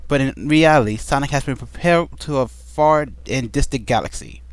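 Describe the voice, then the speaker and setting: Man, casual home vlog, mid-pitched and rambly, dry close mic. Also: normal speed, dry recording. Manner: rambling and playful, with many ums. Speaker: vlogger answering a question tag. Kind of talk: casual chat. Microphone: desk microphone.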